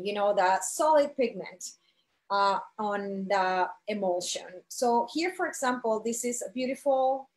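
A middle-aged woman giving a live instructional demonstration as an art teacher, speaking in measured, halting phrases and checking in with her listeners. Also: medium pitch. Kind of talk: live instructional demo, explanatory.

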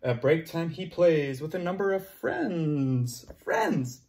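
A young man teaching young children, playful and animated, cheering them on and making sound effects while he reads a picture book aloud in a classroom. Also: on the high side, slow pace, creaky. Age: young adult. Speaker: preschool teacher. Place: classroom with word cards on a board.

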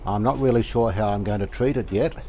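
An older man calmly talking through a restoration job outdoors, thinking aloud as he works. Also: lowish voice, a little hiss or hum. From Australia. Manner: thinking aloud, calm and unhurried, plain-spoken with fillers. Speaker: radio restorer, channel host. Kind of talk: casual hands-on workshop narration. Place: outdoor verandah in the garden.

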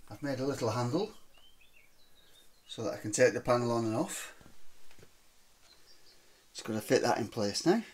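A man in a garage workshop, calmly talking through how he built his boat step by step, recorded on a handheld phone. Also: medium pitch, normal speed, pauses often, breathy edge. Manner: plain, matter-of-fact step-by-step talk. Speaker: hobby boat builder. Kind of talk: casual DIY explanation.

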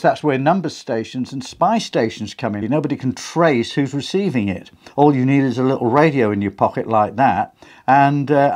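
A man's voice: mid-range voice, a little mellow. A middle-aged British man in his fifties, hosting a casual talk to camera about radio, recorded on a lapel mic in a small home studio. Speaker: video host. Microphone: clip-on lapel mic.

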